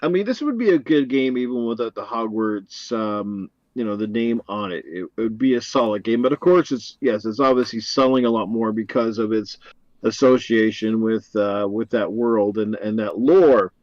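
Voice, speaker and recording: A man's voice: on the low side, normal speed, darkish tone, no echo, muffled recording. Enthusiastic man rambling as a guest. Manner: enthusiastic, rambling with many fillers. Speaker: podcast guest. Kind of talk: casual gaming podcast chat.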